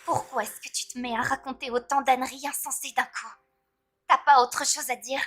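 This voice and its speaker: A woman playing a cool, sharp-tongued teen girl. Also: high voice, fairly even intonation, normal speed, slight background noise. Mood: scolding. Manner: cool and cutting. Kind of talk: French fan dub. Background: faint music.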